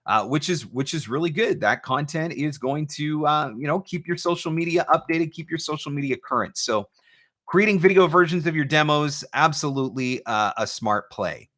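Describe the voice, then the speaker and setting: A man's voice: fairly high pitch, expressive, normal speed, fairly bright tone. Emphatic man hosting a live advice session. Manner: emphatic, uses rhetorical questions. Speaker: host, voice-over marketing coach. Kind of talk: live question-and-answer advice talk.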